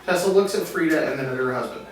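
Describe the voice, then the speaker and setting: A man's voice: a little high, animated, fast. A man reading stage directions as the narrator of a script table read. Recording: distant mic, echoey room, faint noise.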